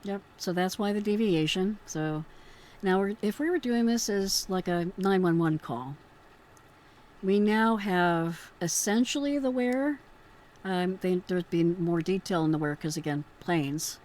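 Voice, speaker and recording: A woman's voice: on the low side, animated, a little slow, darkish tone. A woman giving informal, explanatory and admiring commentary as a host who worked as an emergency dispatcher. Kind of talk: informal commentary on a recorded call.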